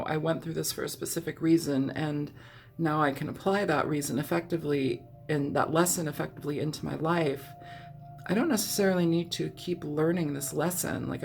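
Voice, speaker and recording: A woman reading tarot in a chatty, meandering way, hoarse and scratchy from a cold, often clearing her throat. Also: low voice. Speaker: tarot reader. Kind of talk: casual tarot reading monologue.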